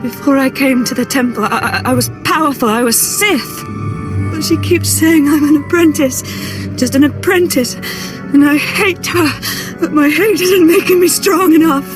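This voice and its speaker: An actress playing a tormented young Sith woman in a video game, intense, halting and struggling for control of her mind. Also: sits high, natural voice. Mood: anguished. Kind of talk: dramatic video game voice acting. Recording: music behind the voice.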